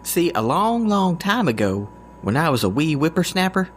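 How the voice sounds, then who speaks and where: An actor with a Southern US accent playing a goofy, good-natured country man in an audio drama, talking in a folksy, drawn-out way. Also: a little high, slight background noise. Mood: animated storytelling. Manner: folksy, drawn-out, excitable storytelling. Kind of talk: scripted audio drama dialogue.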